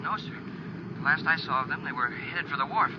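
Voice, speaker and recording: A man's voice: a little high, a little fast, natural voice. Eager boyish space cadet, played by a man, very noisy. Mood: alert. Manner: boyish, earnest exclamations. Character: eager, deferential young space cadet sidekick, male. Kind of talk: 1950s radio adventure acting. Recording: muffled, very noisy 1950s radio recording.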